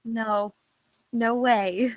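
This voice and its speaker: A woman's voice: a little high, fairly even intonation, extremely slow, no room sound, poor audio. An actress playing a wry, tough female detective in a film script table read, casual and understated. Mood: teasing, amused.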